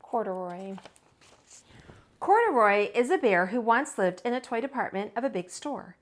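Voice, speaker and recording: A woman teacher reading a picture book aloud to young children in an expressive storytelling style. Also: mid-range voice, moderate pace. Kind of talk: children's read-aloud narration.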